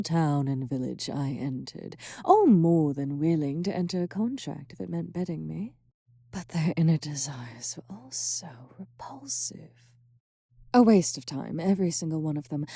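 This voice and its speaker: A woman voicing a sultry, arrogant female succubus in an ASMR roleplay, teasing slowly with breathy whispers. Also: fairly low pitch, dark tone, no room sound, studio quality.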